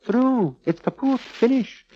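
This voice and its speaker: An actor playing a fussy, long-suffering musician sidekick in a 1940s radio show, arch, precise and theatrical. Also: male voice, very high voice, expressive, slow, full character voice. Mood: exasperated. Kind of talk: 1940s radio comedy-drama acting. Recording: muffled old radio recording, loud music.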